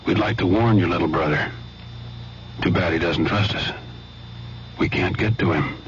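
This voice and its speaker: Sober deep-voiced man, muffled radio spot. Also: normal speed. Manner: sober, direct address. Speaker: public service announcement narrator. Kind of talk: scripted radio public service spot. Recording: muffled old radio broadcast, faint hum.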